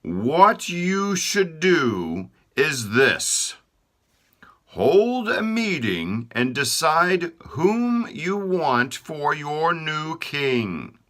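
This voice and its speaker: A man reading a children's storybook, voicing God giving slow, deliberate instructions. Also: on the high side, big pitch swings, fairly bright tone, voice pushed up, heavily acted. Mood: instructive. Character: God, a patient, wise male creator.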